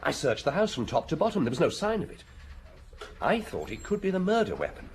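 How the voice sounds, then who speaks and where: Young British detective, eager, deferential, highish voice, very lively pitch, radio drama. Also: male voice, brisk. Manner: keen, respectful. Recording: noisy radio drama recording.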